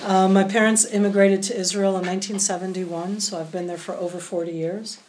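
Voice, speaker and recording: A woman's voice: mid-range voice, little pitch movement, a little mellow, slight background noise, low-quality recording. American woman giving a lecture. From United States, California. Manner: brisk, explanatory, with many asides. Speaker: guest lecturer. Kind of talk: public lecture.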